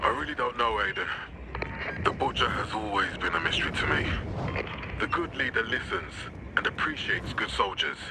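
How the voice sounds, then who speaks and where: An actor playing a commanding, theatrical military leader in a video game, emphatic and grandiose. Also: male voice, on the low side, animated, normal speed, noisy background, lightly acted. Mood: grand, confiding. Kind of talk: cinematic game dialogue.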